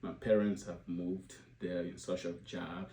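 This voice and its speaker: Slow, deep-voiced man, halting. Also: flat delivery, mellow. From Liberia. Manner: halting, many filler sounds and restarts. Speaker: organization leader addressing supporters. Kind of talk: unscripted video address. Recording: roomy echo, faint background hum.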